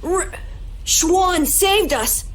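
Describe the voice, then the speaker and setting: A woman's voice: very high-pitched, expressive, extremely slow, slight background noise, heavily acted. A woman voicing a small, earnest, emotional young boy adventurer in a video game, with music behind. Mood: emotional, determined.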